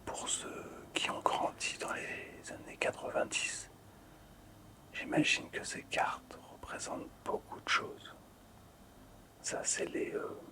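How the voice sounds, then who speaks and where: Male ASMR host, fully whispered and breathy, soft hesitant delivery with repeated phrases. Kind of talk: whispered ASMR presentation of collectible cards.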